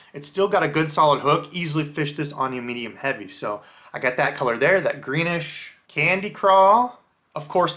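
A man in his thirties talks through fishing gear in a relaxed, chatty way, full of little fillers. His voice sits a bit high for a man and sounds bright and forward. He is recorded close up at a desk in a small room. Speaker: fishing channel host. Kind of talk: casual lure unboxing review. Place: small indoor room with lures on the wall.

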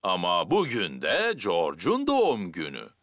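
A man's voice: deep voice, huge pitch swings, a little slow, no room sound, studio quality, heavily acted. A man voicing a jolly, confident father pig in a dubbed children's cartoon, with a hearty, self-assured manner. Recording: loud music mixed underneath.

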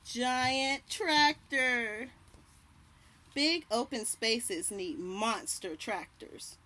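A young adult woman reading a picture book aloud to children, deliberately, pausing to point out and label the pictures. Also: fairly high pitch, bright, forceful tone. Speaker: children's read-aloud host. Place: outdoors on a house porch by trees. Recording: birdsong and light outdoor background noise. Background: birds chirping.

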